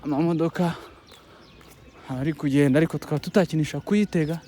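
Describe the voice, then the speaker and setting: A man with a Rwandan accent whose first language is Kinyarwanda, speaking in a fairly high voice. He talks haltingly to his viewers, restarting sentences and pleading for support. Faint outdoor noise sits behind him. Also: steady tone, mellow. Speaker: YouTube content creator. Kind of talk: casual vlog talk to viewers. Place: outdoors by a road with passing buses.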